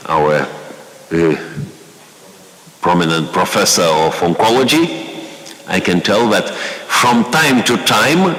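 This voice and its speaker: Halting man in an echoey hall. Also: lowish voice, a bit bright. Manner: halting, many fillers and restarts. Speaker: academy chair introducing a guest lecturer. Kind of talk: formal welcome speech. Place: large lecture hall. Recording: echoey hall, long reverb.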